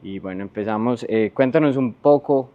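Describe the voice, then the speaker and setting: A man's voice: mid-range voice, normal speed, phone-quality sound. A man hosting a podcast, asking questions in a casual, chatty interview style.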